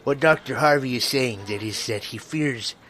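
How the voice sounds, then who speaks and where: Man playing a florid, thirsty smooth talker. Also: medium pitch, moderate pace, a bit bright, close to an everyday voice. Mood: glib, persuasive. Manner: florid, insistent, mock-courteous. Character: smooth-talking thirsty drinker, self-appointed spokesman for his companion. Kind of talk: improvised audio comedy.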